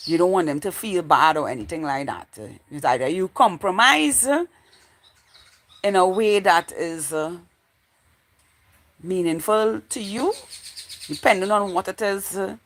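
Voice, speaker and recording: A woman's voice: lowish voice, expressive, unhurried, long pauses. Trinidadian woman, casual livestream, noisy background. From Trinidad and Tobago. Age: 40s. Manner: rambling, repeats phrases while thinking aloud. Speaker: livestream host. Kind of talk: casual live chat with viewers.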